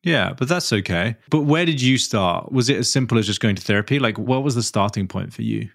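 A man hosts a podcast and asks his guest curious, encouraging questions. His voice sits low and is recorded dry and close, with no room around it. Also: expressive, brisk, creaky, a bit bright, dry recording. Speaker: podcast host. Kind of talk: interviewing.

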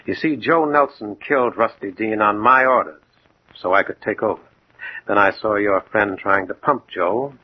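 An actor playing a cold, self-assured gangster boss with blunt, matter-of-fact menace in a 1940s radio drama. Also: male voice, on the low side, moderate pace, lightly acted. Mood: cool menace. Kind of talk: 1940s radio crime acting. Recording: muffled, noisy 1940s radio recording.

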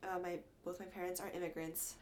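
A woman's voice: on the low side, little pitch movement, fast talker. A young woman from Atlanta co-hosting a casual college radio podcast, upbeat and laughing often between prepared lines. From Atlanta, Georgia. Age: young adult. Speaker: podcast co-host.

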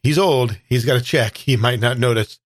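A man's voice: medium pitch, normal speed, no echo. Male tabletop player, dry close sound. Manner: explanatory, describing his character's actions. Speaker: guest player in tabletop game. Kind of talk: casual in-game narration.

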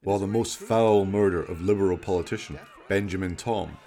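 A man's voice: deep voice, fairly quick, mellow. A man giving scripted narration as the storyteller of a radio play.

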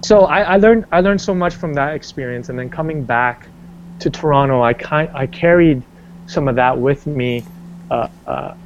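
A man's voice: normal speed, a little hiss or hum. A man, an actor being interviewed, talking casually in a rambling way with lots of fillers and restarts. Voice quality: bass singing voice. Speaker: stage and screen actor, interview guest. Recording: dull lo-fi sound.

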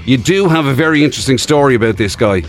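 Lively-voiced man teasing his radio partner. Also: mid-range voice, fast, soft background music. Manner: teasing, prompts his partner with birthday items. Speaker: radio co-host. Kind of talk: casual chat.

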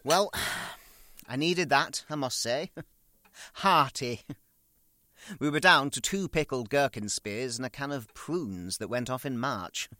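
A man's voice: medium pitch, slow pace, sharp tone. A man playing a fussy, self-pitying grown son in an audio drama monologue, chattily grumbling and rambling in darkly comic asides. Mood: matter-of-fact.

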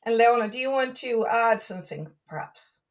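A woman's voice: a little high, animated, normal speed, recorded from a distance, muffled recording. A middle-aged woman from Sweden, telling a story in an easygoing way in a casual interview.

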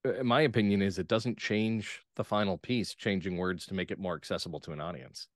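An American man speaking as a playwright guest in an interview, analytical, measured and thoughtful. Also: sits low, steady tone, no room sound, studio quality. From United States.

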